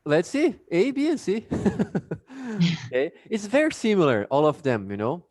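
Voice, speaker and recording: A man's voice: high voice, wildly swooping pitch, moderate pace, a little mellow, no echo, clean studio sound. A Brazilian man with a Brazilian Portuguese accent, teaching an English class casually over a video call and chatting with many fillers.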